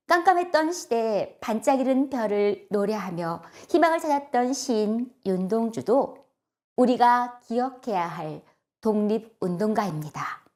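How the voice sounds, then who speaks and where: Female children's storyteller, higher-pitched voice, gentle and instructive, expressive scripted narration with clear phrasing.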